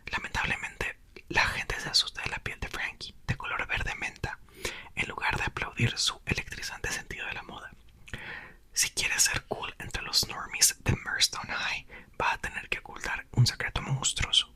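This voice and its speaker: Man whispering a book reading. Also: normal speed. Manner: soft unvoiced whisper throughout. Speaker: ASMR reader.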